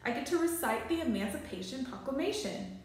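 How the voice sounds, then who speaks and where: An earnest, excitable schoolboy in a children's storybook voiced by a woman. He sounds lively and rather high. There is strong room echo around him. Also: brisk. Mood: excited. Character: eager, excitable schoolboy best friend. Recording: very echoey small bare room.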